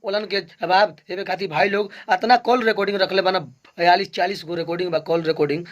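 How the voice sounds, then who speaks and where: A male video host with a Bhojpuri accent and a clearly high-pitched voice. He addresses viewers casually, like a vlogger introducing and commenting on clips. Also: fairly even intonation, fast.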